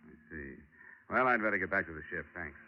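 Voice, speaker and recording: An American actor playing a wry, tough investigator who narrates in clipped 1940s radio detective drama style. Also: male voice, low voice, animated, a little fast, slight background noise. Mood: wry, casual. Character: wry tough male insurance investigator posing as seaman, narrating. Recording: muffled vintage 1940s radio broadcast.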